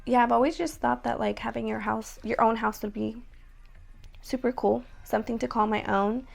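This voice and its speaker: A young woman with a raspy voice talking casually to her viewers, chatty and full of fillers. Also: fairly high pitch, fairly even intonation, moderate pace, soft background music. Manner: chatty, many likes and ums. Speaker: vlogger. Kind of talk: casual vlog talk to camera.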